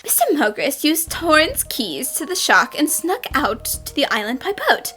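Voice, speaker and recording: A woman playing a confident young female detective in an animated fan dub, explaining a case with theatrical assurance. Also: high voice, moderate pace, faint music behind. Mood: assured, revealing.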